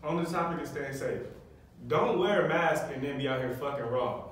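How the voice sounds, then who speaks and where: A man's voice: a little high, moderate pace, a little hiss or hum. A young man, a comedian guest, in laid-back casual banter. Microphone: far camera mic. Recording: echoey room from far off.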